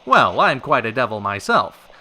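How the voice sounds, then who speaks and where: A man's voice: mid-range voice, very animated, normal speed, sharp tone, a little hiss or hum. A man playing a wry, chivalrous radio talk show host in a polished, theatrical old-time style.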